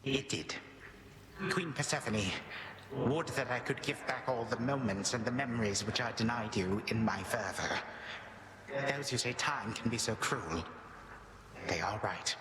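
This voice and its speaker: An ancient titan of time, acted by a man for a game, repentant and courtly. His mid-range voice carries measured, old-world formal phrasing through a long echoing hall, with ambient noise around it. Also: mid-range voice, close to an everyday voice. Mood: humbled, courtly. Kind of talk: video game dramatic acting. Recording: echoey hall, noisy ambience behind.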